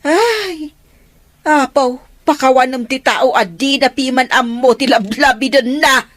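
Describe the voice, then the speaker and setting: An actress playing an exasperated mother in an Ilocano radio drama, reproachful and expressive. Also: high-pitched, unhurried, no room sound. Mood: exasperated, scolding. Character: exasperated, scolding mother of grown daughters.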